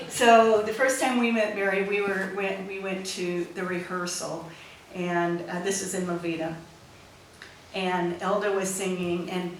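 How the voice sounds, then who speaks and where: A woman telling an informal, rambling anecdote as a speaker at a memorial gathering. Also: lowish voice. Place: back room at a memorial gathering. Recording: echoey noisy room, far from mic.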